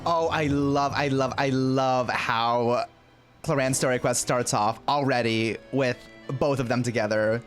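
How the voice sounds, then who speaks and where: A woman streaming a game, giving casual, excitable, gushing reactions and often repeating words. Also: extremely low pitch, a little slow. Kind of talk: casual, reactive live playthrough commentary. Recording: game music behind.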